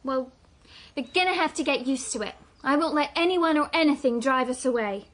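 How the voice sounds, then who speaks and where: An actress playing a gentle, trusting young newlywed heiress in a radio drama, putting on a brave face. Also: female voice, high-pitched, a little fast, no echo. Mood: shaken, determined. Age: young adult.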